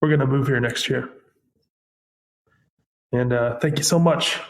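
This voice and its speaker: A man's voice: mid-range voice, measured pace, slight background noise. A man from Missouri leaving a grateful voicemail for a podcast host, hesitant with many fillers and choked up with emotion. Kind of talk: recorded voicemail message.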